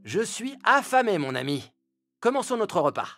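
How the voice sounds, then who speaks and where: An actor voicing a friendly, greedy, thoughtless male fox in a children's animated fable, chummy and eager. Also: medium pitch, moderate pace, soft background music. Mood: eager, grateful.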